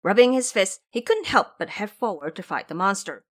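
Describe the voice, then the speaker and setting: A woman narrating a scripted story with expressive, measured, dramatic storyteller delivery. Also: medium pitch.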